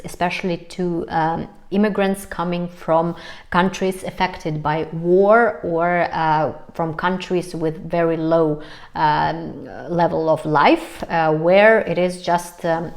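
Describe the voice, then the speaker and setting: Hesitant woman vlogger, lowish voice. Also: a little mellow. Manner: hesitant, many fillers, light laughs. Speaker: vlogger, host of own channel. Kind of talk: casual talk to camera.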